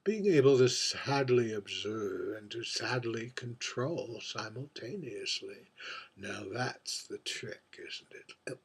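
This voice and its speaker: A man playing a world-weary, wry drag performer in a theatrical monologue, confiding and deliberate, moving between hushed murmurs and emphatic phrases. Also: mid-range voice, expressive, husky, full character voice. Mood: melancholy, philosophical. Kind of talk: theatrical dramatic monologue read by its author.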